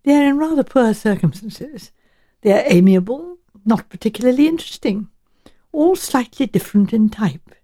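Woman acting a genteel, shrewd elderly English spinster sleuth, mellow. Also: a little high, lively intonation, normal speed. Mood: musing, measured. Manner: musing, hedging, politely chatty.

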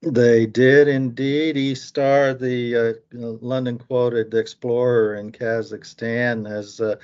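A man with an American accent giving market commentary, halting often with fillers. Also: medium pitch, flat delivery, a little mellow, smooth, lo-fi sound.

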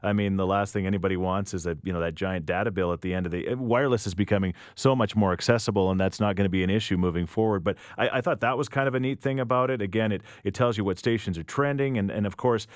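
A man's voice: fairly low pitch, fast, hardly any pauses, no room sound, clean studio sound. A man in his forties with a Canadian accent, chatting in a casual, rambling way as a radio interview host. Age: 40s. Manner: chatty, rambling, repeats words as he gathers his thoughts. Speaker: radio morning show host.

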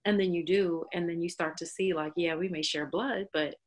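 A woman in casual conversation, animated and playful, talking in quick bursts. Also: fairly low pitch.

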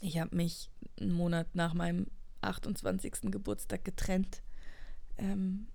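A woman's voice: on the low side, big pitch swings, unhurried, gravelly, mellow. A woman in her late twenties speaking as a podcast host in an earnest, introspective, unscripted personal monologue, often repeating words for emphasis. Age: late 20s, turning 30.

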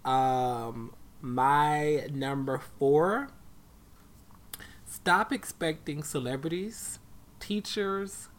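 A man's voice: fairly high pitch, drawn-out words. A man co-hosting a podcast, a dance coach, chatting casually and hammering his point home with repetition. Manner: emphatic, preachy, repeats for stress. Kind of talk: casual chat.

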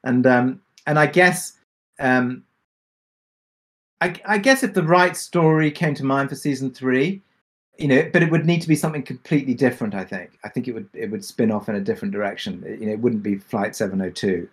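A man's voice: mid-range voice, brisk. A man answering casually and reflectively as a drama creator on a panel, hesitant and thinking aloud.

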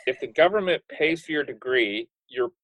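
A man's voice: a little high, expressive, normal speed, fairly bright tone, no room sound, poor audio. A man in his forties co-hosts a casual podcast chat. He is friendly and joking. The sound is dry and close. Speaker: podcast co-host, professor.

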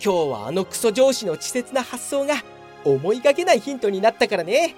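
Woman playing a high, animated, pompous office worker. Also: a little fast, soft background music. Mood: smug. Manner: pompous, grandly self-promoting. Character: brazen, oblivious, self-satisfied veteran office worker. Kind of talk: comedic Japanese radio drama acting.

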